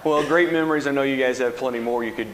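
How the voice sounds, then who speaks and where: A man's voice: medium pitch, fast, a bit bright. A man hosting a school radio show, interviewing a group of students in a casual, encouraging way. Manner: friendly, encouraging, chuckling asides. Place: large classroom with hard walls. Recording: echoey hall with background noise.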